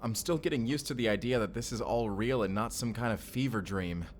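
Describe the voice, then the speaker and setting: A man's voice: fairly low pitch, a little fast, faint music behind, close to an everyday voice. A man playing a bewildered, apologetic reluctant recruit in a time-travel drama, speaking informally and hesitantly. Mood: overwhelmed, questioning. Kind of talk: cinematic audio roleplay acting.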